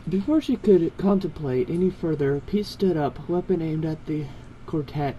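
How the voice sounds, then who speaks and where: A casual amateur reader with a mellow, soft-edged tone tells a story aloud. The delivery is informal, with stumbles and quick self-corrections, and there is some noise in the recording. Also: medium pitch, animated, muffled recording. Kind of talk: reading fan fiction aloud, casual.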